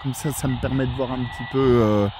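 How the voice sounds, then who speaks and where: A man's voice: mid-range voice, fairly even intonation, a little fast, darkish tone, a little hiss or hum. A man chatting as an amateur radio operator outdoors on a mountain at night, friendly and chatty with polite sign-offs, into a handheld radio mic. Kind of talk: casual radio chat.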